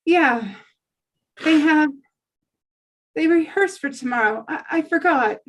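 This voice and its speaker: Actress as kindly church woman, highish voice, unhurried, apologetic, welcoming, drama table read. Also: lively intonation. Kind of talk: dramatic script reading.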